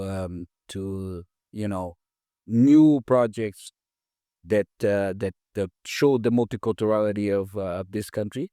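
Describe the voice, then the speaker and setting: Man, podcast host, hesitant with fillers, warm dark tone, dry close sound. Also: lowish voice, no room sound. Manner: hesitant, many fillers and restarts. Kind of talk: casual interview.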